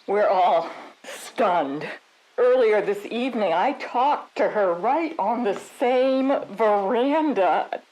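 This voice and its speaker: A woman playing a guarded suburban club member in a comic audio drama, giving measured, evasive condolences in rehearsed grief. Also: mid-range voice, expressive, darkish tone, low-quality recording. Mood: stiff grief.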